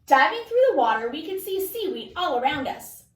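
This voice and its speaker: High-voiced woman reading a storybook aloud. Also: big pitch swings, brisk. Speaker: storybook reader. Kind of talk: expressive read-aloud narration for children. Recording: echoey room, distant mic.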